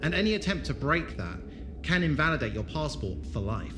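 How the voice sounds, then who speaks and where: An actor playing a worldly, avuncular space traveller who leads his group with eloquent, gently authoritative showmanship in an audio drama. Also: on the high side, big pitch swings, brisk, music in the background. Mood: breezy, wry. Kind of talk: fan audio drama acting.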